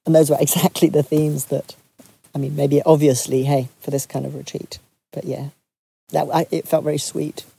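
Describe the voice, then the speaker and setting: A retreat leader from Zambia giving a soft, reflective talk to a group, pausing often and restarting phrases. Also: mid-range voice, flat delivery, creaky, slightly rough.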